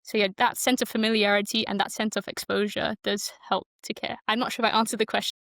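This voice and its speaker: A woman's voice: medium pitch, level tone, quick pace, creaky, fairly bright tone, no room sound. A young woman from Malaysia who moved to the UK as a child, speaking as a podcast guest in a casual, reflective, loosely structured way. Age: young adult. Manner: informal, loosely structured, thinking aloud.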